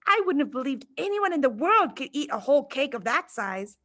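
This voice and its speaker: Woman acting a whispering young schoolgirl, higher-pitched. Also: big pitch swings, brisk, exaggerated voice. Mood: awed, excited. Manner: hushed, whispering.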